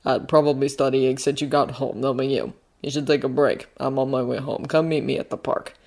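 A fond male guardian from an audio story, played by a woman narrator. The voice drops low and stays understated, with dry teasing and a gruff kind of affection. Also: fairly even intonation, fairly quick, a little mellow. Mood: calm, casual.

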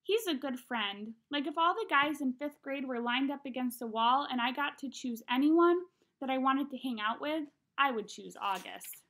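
A young woman with a slightly high voice reads a children's novel aloud. She is expressive and conversational, acting out the dialogue as she goes. She is recorded in a small room at home. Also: moderate pace. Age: young adult. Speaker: read-aloud narrator. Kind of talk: expressive audiobook-style reading of a children's novel.

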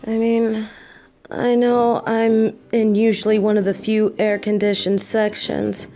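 An actress playing an affectionate, tired demon girlfriend in an audio roleplay, talking softly and close. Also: medium pitch, completely flat delivery, unhurried, soft background music, lightly acted.

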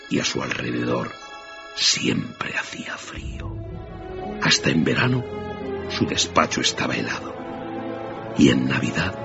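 A man narrating a radio play as a scripted, dramatic storyteller. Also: rumbling low. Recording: dull radio recording under music. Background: clear background music.

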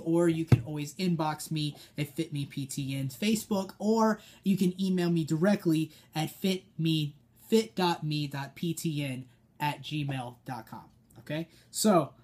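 A man's voice: a little high, a little mellow. A young American man giving informal, emphatic coaching as a personal trainer, direct and instructive. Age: young adult. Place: small dim room indoors, seated at a desk.

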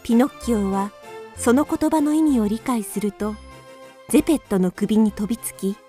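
A woman narrating a children's radio drama in a calm, clear storytelling manner. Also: a little high, normal speed, soft background music.

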